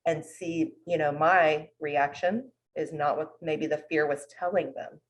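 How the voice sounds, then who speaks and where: A woman's voice: low-pitched, fairly even intonation, unhurried, poor audio. A woman therapist speaking humbly and thoughtfully about her clients on a panel. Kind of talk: panel discussion.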